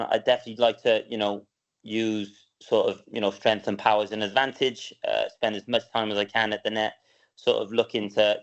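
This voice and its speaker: A young man from Wales answering interview questions in a relaxed, hesitant way, with many ums and repeated words. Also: fairly low pitch, smooth. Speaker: guest, professional padel player. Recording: dull lo-fi sound.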